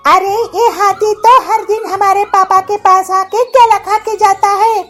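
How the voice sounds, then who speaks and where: A bratty little boy from a children's cartoon story. His voice is extremely high and he draws out his words in a gloating, taunting way, with music playing behind. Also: fairly even intonation. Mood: scheming. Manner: gloating, taunting, drawn-out delivery. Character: mischievous bratty little shopkeeper's son.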